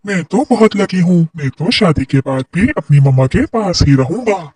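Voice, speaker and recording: A man's voice: high-pitched, animated, moderate pace, a little mellow, breathy edge, no echo, far from the mic. A man voicing an innocent, eager young boy sparrow in a children's cartoon, with a childlike, pleading delivery.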